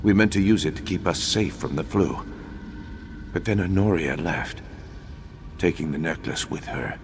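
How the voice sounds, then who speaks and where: Deep-voiced, cursed undead battle mage. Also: male voice. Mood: sorrowful, hesitant. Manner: formal, solemn, measured. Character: remorseful undead imperial battle mage, grave, cursed old scholar. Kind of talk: dramatic video game dialogue. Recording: long hollow reverb, faint background noise.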